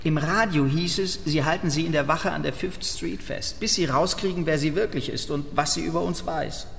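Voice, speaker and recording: An actor playing a slangy, streetwise small-time crook in a German radio crime drama, with a gravelly, colloquial delivery. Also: male voice, on the high side, normal speed, fairly bright tone. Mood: wary. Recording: reverberant room, faint background noise.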